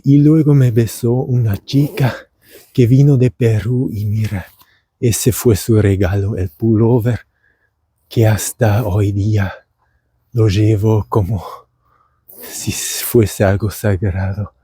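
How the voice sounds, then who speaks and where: Man, Argentine accent learned abroad, meandering theatrical monologue, very dark tone, dry sound. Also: fairly low pitch, fairly even intonation, takes it slowly, husky, no echo. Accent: Argentine Spanish learned by a German speaker. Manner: meandering, theatrical, with many fillers and restarts. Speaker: language teacher and podcaster. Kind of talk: rambling comedic monologue. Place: outdoors at dusk.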